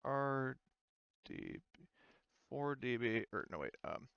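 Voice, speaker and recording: A man's voice: mid-range voice, lively intonation, creaky, clear, resonant tone, no echo. Man game master, clean but dull audio. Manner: halting, thinking aloud while working out rules. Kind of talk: running a tabletop roleplaying game, casual.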